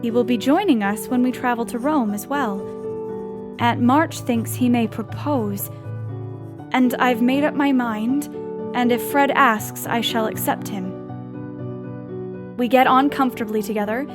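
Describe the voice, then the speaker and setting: An actress plays a polite young lady in a period audio drama. Her earnest, genteel voice sits a little high, with prominent music beneath it. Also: measured pace, pauses often, close to an everyday voice. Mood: pleased, resolved. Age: young adult.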